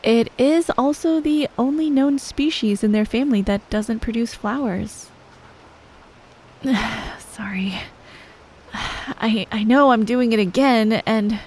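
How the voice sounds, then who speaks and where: Woman playing a shy awkward girl best friend, asmr roleplay, kinda high voice, really slow n halting. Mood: apologetic. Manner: hesitant, apologetic, soft-spoken, halting. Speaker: audio roleplay performer. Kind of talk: scripted ASMR roleplay, speaking to the listener as a friend.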